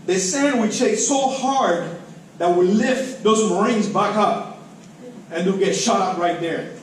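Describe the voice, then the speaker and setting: A middle-aged man from Cuba with a Cuban accent, a native Spanish speaker, giving an emotional, emphatic, informal public speech. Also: high voice. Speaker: public commenter at a school board meeting. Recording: very echoey room, distant mic, faint noise.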